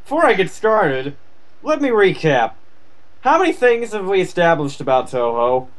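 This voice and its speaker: A man's voice: high voice, sing-song, moderate pace. A man giving casual comedic commentary in an exasperated, sarcastic, sweary way. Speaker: co-host commenting on a reading. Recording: noisy amateur mic with clicks.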